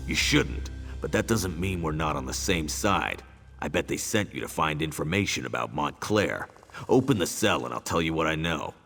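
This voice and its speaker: An actor playing a cocky, irritable captured guard in a fantasy video game, sardonic and wheedling in informal speech. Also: male voice, rumbling low, creaky, fairly bright tone, in a large hall, exaggerated voice.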